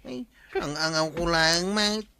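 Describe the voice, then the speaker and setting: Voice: medium pitch, slow pace, creaky, crowd noise. An actor with an Ilocano accent playing a teasing, quarrelsome village councilor in a radio comedy-drama. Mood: teasing, heated.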